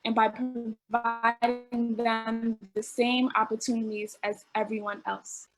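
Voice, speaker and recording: A woman's voice: fairly high pitch, low-quality recording. A woman hosting an event, reading prepared remarks aloud earnestly and stumbling a little over unfamiliar words. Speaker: event host reading out testimonials.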